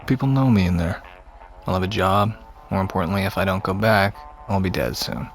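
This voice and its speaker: An actor playing a self-loathing, heroin-addicted young ex-con who drinks, in naturalistic audio fiction, drowsy, slurred and bitterly sardonic. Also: male voice, low voice, a little fast, faint music behind. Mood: bleak, resigned.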